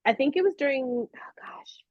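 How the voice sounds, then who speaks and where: A woman's voice: high voice, animated, normal speed, dry recording. A woman talking casually and expressively as an interview guest, a voice actress telling her own stories. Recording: dull, lo-fi audio.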